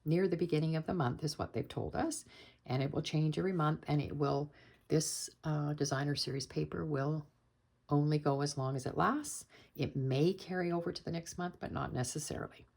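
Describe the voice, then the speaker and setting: A woman with a low, gravelly voice, hoarse and croaky from a cold. She talks through a card-making tutorial in a chatty, patient way, with a husky edge. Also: moderate pace, darkish tone. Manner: chatty, explains steps patiently with fillers. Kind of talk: casual crafting tutorial.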